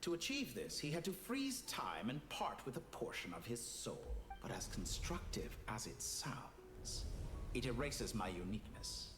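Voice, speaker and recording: An actor playing a cold, arrogant scientist villain in a video game, speaking in a measured, condescending, philosophical way. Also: male voice, medium pitch, sing-song, echoey room, over music. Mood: detached, pointed. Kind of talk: scripted video game dialogue.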